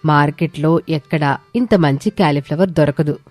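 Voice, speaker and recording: A woman's voice: sits low, normal speed, a little mellow, no room sound. A woman voicing a spirited young village daughter-in-law in a moral story, earnest and emphatic. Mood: firm selling. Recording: music behind the voice.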